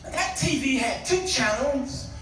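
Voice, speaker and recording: High-pitched American preacher in an echoing hall. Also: male voice, expressive, a crowd in the background. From Chicago, United States. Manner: theatrical, exclamatory, drawn-out emphasis with rhetorical questions. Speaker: guest preacher. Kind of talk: nostalgic sermon to a congregation. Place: church hall, preaching to a congregation. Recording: echoey hall, distant microphone.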